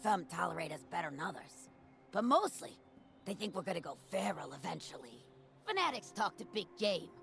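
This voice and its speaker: Creaky, swaggering ghoul woman. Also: lowish voice, big pitch swings, fairly quick, a bit bright, faint music behind, heavily acted. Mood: bitter. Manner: cocky, streetwise, slangy talk. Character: tough, wisecracking, jaded female ghoul wasteland brawler. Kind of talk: video game character dialogue.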